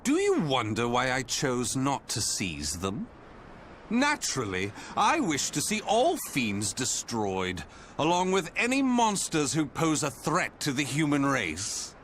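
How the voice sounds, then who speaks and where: An actor playing a theatrical, sadistic video game villain who grandly professes love for humanity, with a flamboyant, drawn-out delivery. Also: male voice, mid-range voice, huge pitch swings, fairly bright tone, slight background noise. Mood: scholarly fervor.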